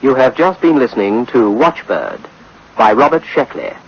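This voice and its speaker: A man with a husky voice reading scripted closing credits as a 1960s radio announcer. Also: mid-range voice, lively intonation, moderate pace. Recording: muffled old radio recording.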